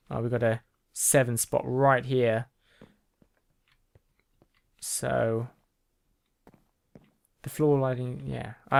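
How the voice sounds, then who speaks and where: A man's voice: medium pitch, gravelly, no room sound. A man hosting a gaming video, chatting casually as he builds, rambling with ums and restarts. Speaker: gaming channel host. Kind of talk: casual gameplay commentary.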